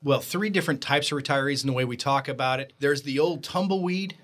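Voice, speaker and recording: A man hosting a financial radio show, talking in an energetic, emphatic way. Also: medium pitch, fast. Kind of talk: upbeat financial radio talk.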